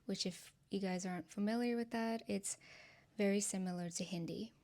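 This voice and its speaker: A woman from Nepal in her twenties, a native Nepali speaker, softly and earnestly sharing her personal testimony with her channel's viewers, with frequent ums and light laughs. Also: mid-range voice, moderate pace.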